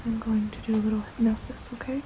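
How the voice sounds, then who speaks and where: A woman's voice: on the high side, little pitch movement, noisy background, close to an everyday voice. Woman as a whispering, nurturing vampire mother. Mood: reassuring. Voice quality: soft, breathy whisper. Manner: slow, soothing, halting, reassuring. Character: gentle, nurturing vampire mother, centuries old. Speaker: ASMR roleplay performer. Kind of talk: whispered ASMR roleplay.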